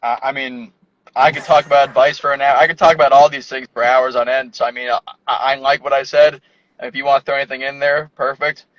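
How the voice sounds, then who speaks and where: A man's voice: mid-range voice, fairly even intonation, fast, fairly bright tone, no room sound. A man speaking as a guest in a casual chat, loose and chatty, filling his speech with "like". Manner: loose, chatty, heavy use of "like". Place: inside a parked car. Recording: dry, dull lo-fi sound in a car.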